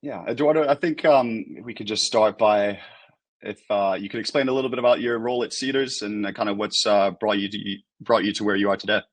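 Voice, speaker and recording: A man's voice: on the low side, steady tone, fairly quick, dry recording. A man hosting a webinar interview, asking questions in a loose manner full of fillers. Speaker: webinar host.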